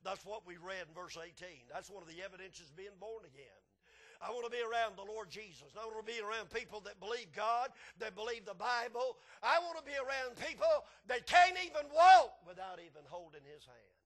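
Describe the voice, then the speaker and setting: Older man preaching in a big, high-pitched voice. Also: expressive, brisk. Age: 60s or older. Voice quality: big, loud voice. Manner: emphatic and folksy, swelling from quieter asides to shouts. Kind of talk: fervent evangelistic sermon.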